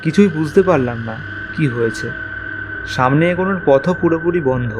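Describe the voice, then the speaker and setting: A man playing a courteous, uneasy journalist who narrates his own horror story in an animated tale. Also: a little high, fairly quick, noisy background, close to an everyday voice. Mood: uneasy suspense. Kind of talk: first-person horror story narration and dialogue. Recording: faint music behind the voice.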